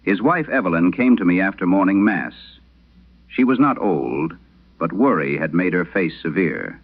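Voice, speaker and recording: Deep-voiced old priest played by an actor, grave and haunted. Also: male voice, lively intonation, narrow, tinny audio. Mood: grave, somber. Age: older. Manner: solemn, measured storytelling. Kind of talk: dramatic scripted horror radio monologue.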